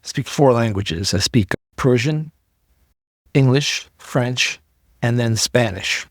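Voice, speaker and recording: A man's voice: medium pitch, slow, no room sound, studio quality. A middle-aged man from Iran, a podcast guest telling his story earnestly and often repeating words as he builds up. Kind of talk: casual interview talk.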